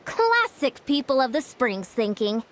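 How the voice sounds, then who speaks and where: A woman voicing a bubbly young woman surfer who leads her team in a video game with a peppy, shouty delivery. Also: sits high, sing-song, unhurried, slight background noise. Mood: eager. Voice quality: peppy, shouty battle cries. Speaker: game character.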